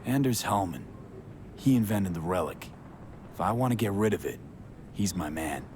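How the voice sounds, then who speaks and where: A terse, sardonic mercenary. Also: male voice, low-pitched, animated, moderate pace, a little mellow. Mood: blunt. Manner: blunt, clipped, drops subjects from sentences. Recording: game audio with clear background noise.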